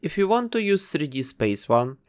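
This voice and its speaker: Male tutorial narrator, methodical and instructive, with a lively, varied pitch. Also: normal speed, clear, resonant tone. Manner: methodical, instructive, explains each step. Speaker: tutorial host. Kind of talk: step-by-step software tutorial narration.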